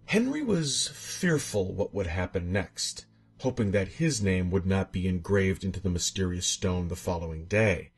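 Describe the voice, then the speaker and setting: A man's voice: deep voice, animated, normal speed, slightly rough, soft background music. A man narrating a scripted horror story in a theatrical, suspenseful way.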